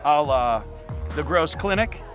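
Drunk cocky college team captain, theatrical, slow and taunting, music behind, audio drama. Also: male voice, on the high side, lively intonation. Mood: drunken, taunting. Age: young adult. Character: cocky, boozy college rowing captain holding court. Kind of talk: scripted audio drama dialogue.

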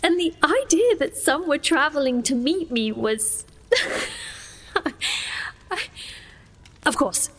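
A woman's voice: sits high, sing-song, takes it slowly, pauses often, a bit bright, a little hiss or hum, heavily acted. An actress playing a sheltered, proud young sorceress who tells her own story in an earnest, intimate way in a fantasy audio drama. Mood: reflective. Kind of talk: first-person fantasy audio drama narration and dialogue.

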